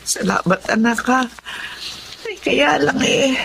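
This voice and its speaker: An actress playing a sickly, gentle, apologetic aging Filipino mother in a melodrama. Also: sits high, slow, vocal fry, a little hiss or hum, exaggerated voice. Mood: grateful, apologetic. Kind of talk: Filipino radio-style melodrama acting.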